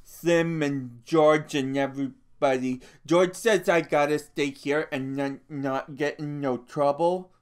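A man's voice: on the high side, steady tone, clean tone, pitched above the performer's own voice. A man doing a big timid childlike farmhand. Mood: pleading. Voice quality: stammering, halting. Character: big slow-witted childlike ranch hand, timid and eager.

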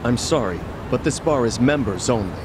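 A man's voice: medium pitch, lively intonation, normal speed, background noise, natural voice. An actor playing a polite, rule-bound middle-aged club doorman in a video game, speaking formally and firmly. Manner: formal, firm.

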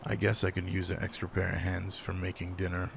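A man playing a gruff but caring werewolf pack alpha in an intimate roleplay audio drama, speaking in a low-key, casual, matter-of-fact way. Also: completely flat delivery, a little fast. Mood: calm, welcoming. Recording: noticeable background noise.

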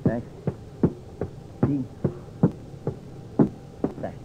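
An actor playing a playful, musing man who improvises scenes for a detective game in an old radio play, speaking hesitantly. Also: very slow. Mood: playful, busy. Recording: dull old radio recording, noisy.

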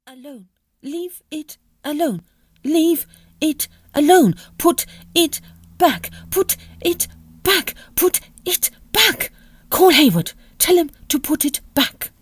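Actress as edwardian girl medium in a trance, high slow plaintive murmur, audio drama. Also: animated, dry recording. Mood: plaintive, entranced.

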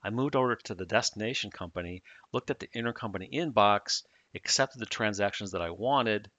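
A man narrating a software tutorial, explaining each step plainly in the manner of a demonstrator. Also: fairly low pitch, fairly quick, no echo, highs cut off.

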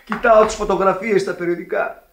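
High-pitched, clownish young Italian suitor. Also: male voice, a little hiss or hum. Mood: pleading. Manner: excitable, wheedling, peppered with Italian words. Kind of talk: radio theatre acting. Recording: echoey archive radio theatre recording.

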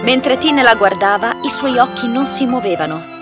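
A woman's voice: on the high side, expressive, normal speed. A woman reading a scripted children's fairy tale as its narrator. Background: loud background music.

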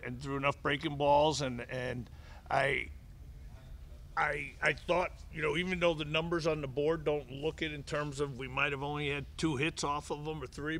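Gravelly bright-voiced middle-aged man. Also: a little high, slight background noise. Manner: frequent restarts and repeated words, measured. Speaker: head baseball coach. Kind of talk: postgame press conference answers. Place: press conference room. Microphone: table mic in front of him.

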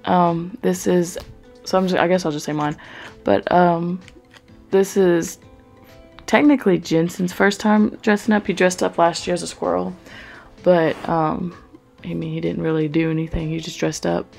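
A woman's voice: lowish voice, steady tone, normal speed, creaky, a little mellow, faint music behind. A young woman, chatting in a rambling voice-over for her cleaning vlog with lots of fillers. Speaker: cleaning vlogger. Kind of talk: casual voice-over chat.

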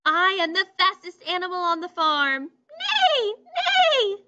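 A woman reading as a proud fast horse. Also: big pitch swings, sharp tone, exaggerated voice. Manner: proud read-aloud voice with a high whinny. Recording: muffled lo-fi recording.

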